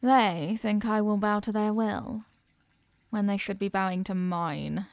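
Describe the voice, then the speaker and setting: A woman playing an ancient captive eldritch goddess in a horror ASMR roleplay, haughty, hungry and menacing, speaking in an imperious, condescending and deliberate way. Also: mid-range voice, steady tone, no room sound, lightly acted. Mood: indignant, scornful. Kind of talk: slow horror audio roleplay monologue, ASMR.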